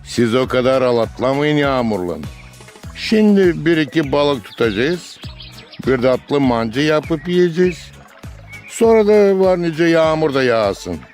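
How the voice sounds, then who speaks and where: A man's voice: a little high, very animated, a little slow, darkish tone, faint music behind. An actor playing a patient grandfather in a cartoon, speaking with a Gagauz accent in an explanatory, reminiscing way. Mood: calm, reassuring. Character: patient grandfather out fishing with children. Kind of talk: Gagauz-language cartoon dialogue.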